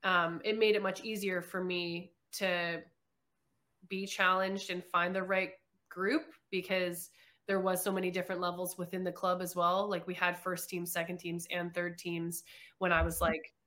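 A woman's voice: fairly low pitch, little pitch movement, normal speed, sharp tone. A young woman from Canada, a guest chatting casually in an interview, with many "like" fillers.

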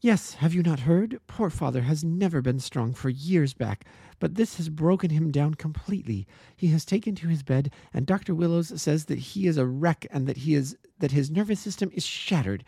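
A man's voice: expressive, moderate pace, vocal fry, dark tone, breathy edge, no echo, studio quality, full character voice. A man voicing an earnest young woman, breathless and insistent as she pleads. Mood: pleading. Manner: breathless and insistent, rising high. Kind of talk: audiobook character voice.

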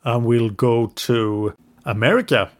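English-speaking man, podcast co-host, mid-pitched, narrates history from notes with the odd hesitation. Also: measured pace. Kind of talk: casual history podcast storytelling.

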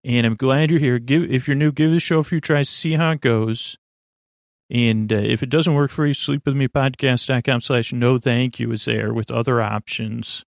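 Male sleep-podcast host, creaky and soothing, mid-pitched, wandering halting monologue, dry close recording. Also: steady tone, no room sound. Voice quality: creaky, lulling. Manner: meandering, halting, stammering asides. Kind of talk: rambling bedtime monologue.

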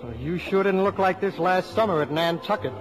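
A man playing a smitten, earnest American high-school boy with slangy talk in a 1950s radio comedy. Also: brisk, over music, lightly acted, low-quality recording. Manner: boyish, slangy, sincere. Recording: old radio broadcast.

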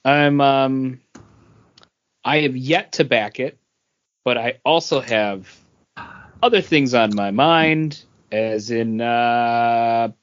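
Regular guy co-hosting a podcast, average pitch, chatty, muffled recording. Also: takes it slowly, pauses often, clear, resonant tone. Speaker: podcast co-host. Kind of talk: casual chat. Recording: dull, lo-fi podcast audio.

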